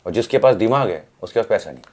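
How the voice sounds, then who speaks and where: A man's voice: lowish voice, expressive, fast, a little mellow. A man chatting casually as an interview guest, blunt, dropping English phrases into his speech. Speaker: interview guest, independent filmmaker. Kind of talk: casual chat.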